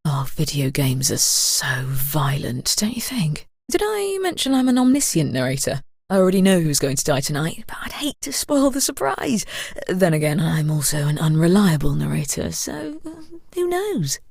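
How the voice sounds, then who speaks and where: A woman's voice: sits low, big pitch swings, moderate pace, creaky, darkish tone, husky, no echo, full character voice. A woman voicing a sly, sinister disembodied narrator in a video game, arch and conspiratorial in manner. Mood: sly, teasing. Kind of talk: video game character voice acting.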